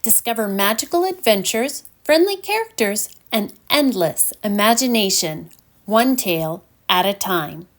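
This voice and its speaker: Slow, lilting female bedtime storyteller. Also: medium pitch, expressive. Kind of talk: scripted children's bedtime story narration.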